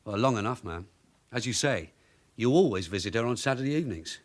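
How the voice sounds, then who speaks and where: An actor playing a bossy, streetwise long-serving detective sergeant in a radio drama, with a London accent and a brisk, clipped, commanding manner. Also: male voice, medium pitch, expressive, lightly acted. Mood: polite, official. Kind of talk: naturalistic British radio drama acting.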